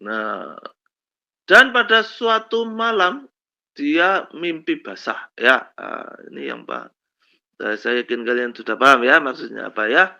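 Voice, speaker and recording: A male lecturer with a slight cold, telling stories slowly with many fillers in a lesson on Islamic law. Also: medium pitch, expressive, vocal fry, sharp tone. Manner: slow storytelling with many fillers and "kan begitu ya". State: has a cold, coughs. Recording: dull lo-fi recording.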